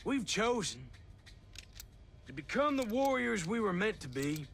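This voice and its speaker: An actor playing a menacing, smug renegade military commander in a video game cutscene, mocking and deliberate. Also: male voice, high-pitched, sing-song, creaky, a bit bright, a little hiss or hum, exaggerated voice. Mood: cold conviction.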